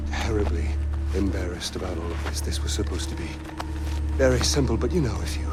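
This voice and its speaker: A man's voice: on the low side, close to an everyday voice. An actor playing a genteel but volatile middle-aged male tyrant in a video game, theatrical and mock-courteous, given to pedantry and sudden swearing. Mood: mock-apologetic, playful. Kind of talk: theatrical game cutscene monologue.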